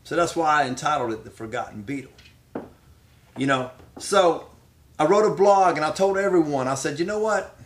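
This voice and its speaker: Emphatic man, slightly higher voice. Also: moderate pace. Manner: emphatic, dramatic repetition. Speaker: professional wrestler. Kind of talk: promotional speech answering questions.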